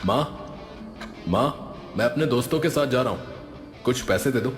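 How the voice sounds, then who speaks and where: A man's voice: mid-range voice, lively intonation, normal speed, roomy sound, long reverb tail, faint music behind, natural voice. A man voicing an irritable, spoiled son in his twenties, whiny and dismissive in a moral story. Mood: irritated. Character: irritable spoiled 24-year-old son, resentful. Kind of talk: animated moral story dialogue.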